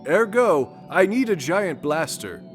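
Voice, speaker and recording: An actor playing a pompous, self-important space adventurer lord in a comedic sci-fi audio drama, with florid, theatrical diction. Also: male voice, high voice, sing-song, a little slow, in a large hall, faint music behind. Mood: bombastic.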